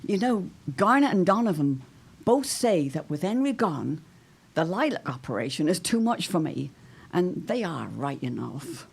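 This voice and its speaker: A woman's voice: fairly low pitch, sing-song, normal speed, creaky, husky, full character voice. A woman playing an anxious but proudly stubborn older widow, speaking in dramatic, old-fashioned phrases in a period audio drama. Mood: melancholy.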